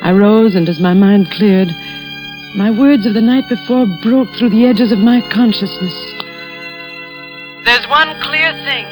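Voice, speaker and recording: Actress as an anxious wife in vintage radio suspense. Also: female voice, on the high side, unhurried, close to an everyday voice. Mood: brooding. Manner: dramatic, earnest. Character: anxious, determined married woman telling her suspense story. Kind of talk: 1940s radio acting. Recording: vintage radio recording with music.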